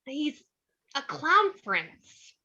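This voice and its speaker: A woman's voice: sits high, animated, moderate pace, pushed and bright. A woman playing a lovestruck, defiant young clown woman in a dramatic script reading, on a dull microphone. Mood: defiant, smitten. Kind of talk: screenplay table read acting.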